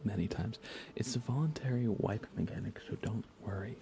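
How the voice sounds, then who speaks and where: A man speaking softly as an ASMR game streamer, hushed and often near a whisper, rambling casually as he chats with viewers. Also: lowish voice, fairly quick, vocal fry, music in the background. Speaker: live streamer. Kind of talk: soft-spoken ASMR gaming livestream chat.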